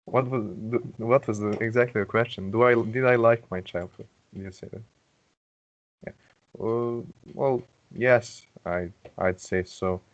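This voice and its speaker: A man's voice: fairly low pitch, fairly even intonation, measured pace, lo-fi sound. A young man from Serbia, a Serbian native speaker, introducing himself and correcting himself often in a casual online chat. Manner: hesitant, self-correcting.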